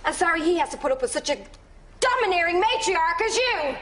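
Fed-up young girlfriend, high voice, sarcastic, curt, sitcom delivery. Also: big pitch swings, brisk, a bit bright, full character voice. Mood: indignant. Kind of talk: stage-like sitcom acting.

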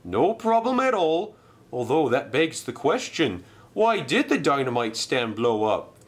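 A man doing a high, chatty male engine. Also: sing-song, measured pace.

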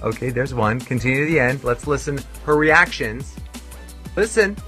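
A man in his forties with an American accent, speaking excitedly into a phone held at arm's length. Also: mid-range voice, a little slow, music in the background. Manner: animated, excitable. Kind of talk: selfie social media clip. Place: small office room, indoors.

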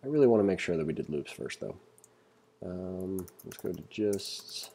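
A man's voice: deep voice, lively intonation, moderate pace, gravelly, warm tone, slight background noise. A man giving a casual coding tutorial, informally thinking aloud as he reads and explains. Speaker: programming instructor.

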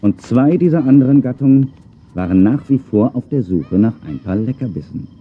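A man's voice: medium pitch, animated, moderate pace, slightly rough. A man narrating a children's audio drama expressively from a script. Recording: narrow phone-like sound, faint music.

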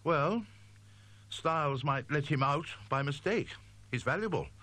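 A male narrator voicing an excitable young male scholar who talks in a nervous, stammering, evasive way. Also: on the high side, measured pace, pitched above the performer's own voice, full character voice. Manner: stammering, jumpy, defensive.

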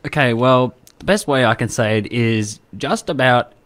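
A man with an Australian accent co-hosting a radio chat show, giving his take on a film in measured, slightly formal phrasing. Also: medium pitch, lively intonation, a bit bright. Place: community radio studio.

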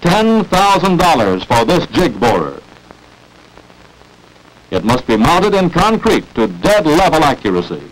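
Lively 1940s American film narrator, man. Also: a little high, unhurried, long pauses, poor audio. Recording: old 1940s film soundtrack.

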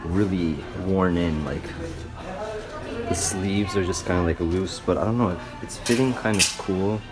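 A young man talking casually to the camera in a rambling, slangy vlog style, saying "like" often. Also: low-pitched. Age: young adult. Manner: rambling, loose and slangy, with frequent "like". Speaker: vlogger. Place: parked car, later small store fitting room. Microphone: camera or phone at arm's length.

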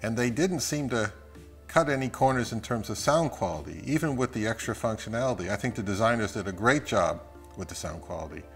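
A man's voice: lowish voice, animated, a little fast, fairly bright tone, faint music behind. A middle-aged man, narrating a product review of audio gear.

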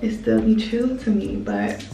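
A woman's voice: on the high side, huge pitch swings, unhurried, vocal fry, dark tone. A woman in her late twenties chatting casually to her vlog camera while doing her hair, drawling and talking to viewers as y'all. She is a little under the weather. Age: 20s. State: a little sick. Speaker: vlogger. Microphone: small camera on tripod. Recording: roomy echo, mic at a distance, music behind.

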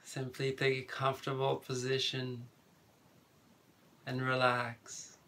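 A man guiding a meditation in soothing phrases with long pauses between them. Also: medium pitch, completely flat delivery, bright, forceful tone. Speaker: meditation teacher. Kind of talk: guided meditation.

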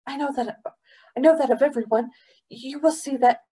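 A woman's voice: high-pitched, animated, unhurried, a little mellow, pitched above the performer's own voice. A woman voicing an earnest, flustered young boy in a storybook, breathless and stammering. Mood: eager.

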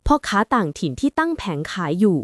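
A woman reading a scripted story aloud as an expressive storyteller. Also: mid-range voice, quick pace, no room sound, studio quality.

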